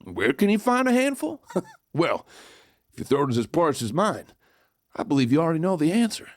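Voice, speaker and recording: Cocky Wild West outlaw with lively swings. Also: medium pitch, moderate pace, no room sound, clean studio sound. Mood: sly narrating. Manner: showy, folksy, playful swagger. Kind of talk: theatrical first-person storytelling, audio drama.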